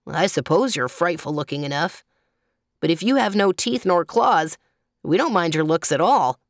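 A gloomy male clown from a children's storybook acted out by a woman. He sounds unimpressed and rather low. The sound is dry. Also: big pitch swings, moderate pace, a bit bright, dry recording. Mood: indifferent. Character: gloomy, indifferent male picture-book clown.

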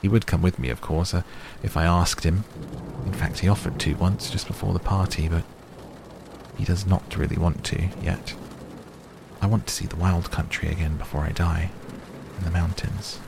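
A man's voice: low-pitched, creaky. A man voicing an old hobbit in a story reading, fussy, stubborn and testy, with a defensive manner, on a noisy recording. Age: older. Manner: stammering, impatient, defensive.

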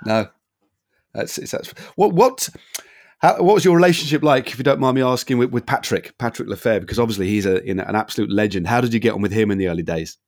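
Low-voiced, chatty male interviewer. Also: fast, darkish tone, no echo, studio quality. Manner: chatty, rambling questions with many fillers. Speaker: interviewer, podcast host. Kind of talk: casual interview.

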